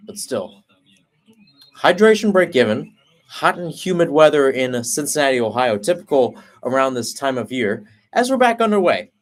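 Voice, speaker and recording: A young man delivering live soccer play-by-play at a brisk, steady clip. He tracks each pass in a running call and corrects himself on the fly. His voice sits at an ordinary middle pitch for a man. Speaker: play-by-play commentator.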